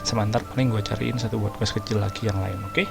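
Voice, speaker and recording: A man's voice: fairly low pitch, steady tone, quick pace, creaky. A man with an Indonesian accent giving casual, informal gameplay commentary as a video host, mixing in English phrases. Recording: loud game music behind. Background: video game music.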